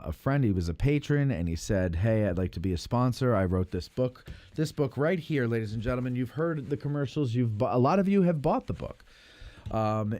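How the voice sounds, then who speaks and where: A man hosting a casual talk show, speaking in a halting way and often repeating himself. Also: mid-range voice, a little mellow, no room sound. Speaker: podcast host. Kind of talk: casual chat.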